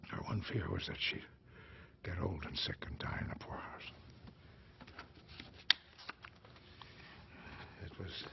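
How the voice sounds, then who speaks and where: A man acting a bitter, proud, ageing actor father, miserly and regretful, in a husky, gravelly theatrical monologue. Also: on the low side, a little hiss or hum. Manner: theatrical, swinging between hushed and declamatory. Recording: dull, lo-fi sound.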